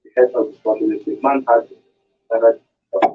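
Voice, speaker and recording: A Nigerian man with a husky voice phoning a radio show to give his opinion. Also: medium pitch, steady tone, normal speed, a crowd in the background. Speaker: radio phone-in caller. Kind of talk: giving an opinion on air. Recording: tinny phone line into radio.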